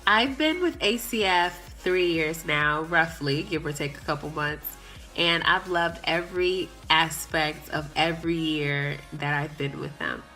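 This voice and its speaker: Bright-voiced young woman, upbeat. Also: low-pitched, measured pace, low-quality recording. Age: young adult. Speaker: young adult fellowship president. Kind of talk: to-camera introduction and testimonial. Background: soft background music.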